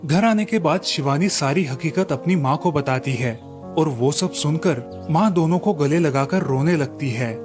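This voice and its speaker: A male storyteller with a fairly high voice narrates a cartoon moral tale from a script. Light music plays underneath his narration. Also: measured pace.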